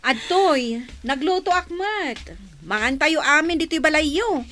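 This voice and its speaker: A woman's voice: high voice, expressive, a little slow, pushed and bright, full character voice. A woman playing a kindly, pious middle-aged village auntie in a radio drama, with an Ilocano Filipino accent. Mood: cheerful, caring.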